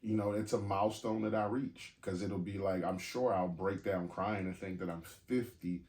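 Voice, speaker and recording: A man's voice: deep voice, steady tone, normal speed, a little mellow. A man in his forties co-hosting a podcast, talking in a candid, casual way, cursing freely and laughing easily. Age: 40s. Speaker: podcast co-host. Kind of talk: casual chat.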